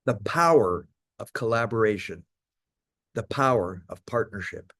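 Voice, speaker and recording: A man in his seventies with a Canadian accent, giving a measured prepared talk as guest speaker. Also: fairly low pitch, animated, fairly bright tone, dry recording, studio quality. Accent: Canadian English.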